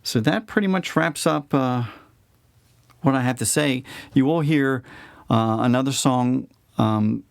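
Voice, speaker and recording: A man talking casually and reflectively about his own music as an interview guest, pausing mid-phrase to find his words. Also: mid-range voice, gravelly, no echo, clean studio sound.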